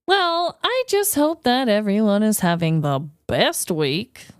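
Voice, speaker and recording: A woman co-hosting a podcast in casual, jokey conversation, informal and quick to laugh, close on the microphone. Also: medium pitch, wildly swooping pitch, clear, resonant tone, dry recording. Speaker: podcast co-host. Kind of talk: casual conversational true-crime comedy podcast chat.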